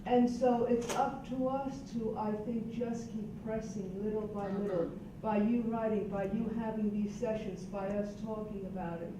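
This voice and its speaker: A woman's voice: medium pitch, steady tone, moderate pace, few pauses. Older Puerto Rican woman, echoey distant room. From Puerto Rico. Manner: earnest, reflective, emphatic. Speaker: roundtable participant. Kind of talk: panel discussion. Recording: distant echoey room mic, dull and noisy.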